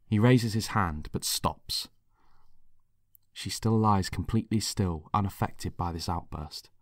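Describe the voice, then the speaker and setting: A man's voice: deep voice, a little slow, no echo, studio quality. A man reading scripted dramatic narration in the present tense. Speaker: writer and narrator.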